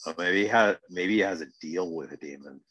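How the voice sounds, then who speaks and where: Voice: deep voice, a little fast, a bit bright, dry recording, rough recording. A podcast co-host giving casual, emphatic commentary on a TV episode, repeating phrases to make a point.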